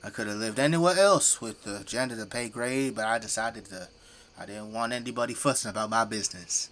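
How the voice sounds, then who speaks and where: A man's voice: lowish voice, brisk, fairly bright tone, lightly acted. An actor playing a folksy, teasing middle-aged Midwestern family man who works as a zombie-fighting janitor in an audio drama. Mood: jovial, frank.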